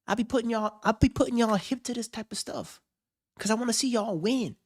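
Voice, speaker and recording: A man's voice: extremely high pitch, a little fast, darkish tone. A man hosting a livestream, reacting to a video with hyped, slang-heavy banter and frequent laughs. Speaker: streamer host. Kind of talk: casual livestream commentary.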